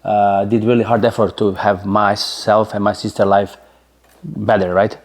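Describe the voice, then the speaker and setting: A man in his forties speaks English with a Slovenian accent in a fairly low voice. He talks loosely and chattily in an interview, with fillers and frequent tag questions. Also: level tone, normal speed. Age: 40s. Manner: chatty, many fillers, keeps asking "right?". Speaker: interview guest, former soccer player. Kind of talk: casual interview storytelling.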